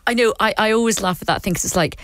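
A woman with a Glaswegian accent presenting a live radio chat show, talking in quick bursts with restarts. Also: mid-range voice, a bit bright, dry recording. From Scotland. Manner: chatty, quick restarts, laughs easily. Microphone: studio microphone.

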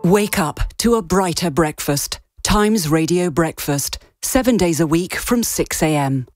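A woman reading a radio station promo in a slow, deliberate manner. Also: on the low side, animated. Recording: music behind.

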